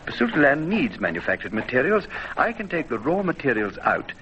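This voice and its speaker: An actor playing a stubborn, dreamy young ex-soldier, earnest and insistent, in old radio drama style. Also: male voice, on the high side, very animated, fairly quick. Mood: eager. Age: young adult. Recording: muffled, faintly noisy old radio.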